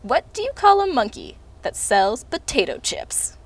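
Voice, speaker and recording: A woman voicing Tuna, a cheerful puppet who sings a slow rhyming song and tells silly jokes to children. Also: a little high, big pitch swings, heavily acted. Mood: playful. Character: cheerful joke-telling puppet named Tuna. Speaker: children's puppet show performer.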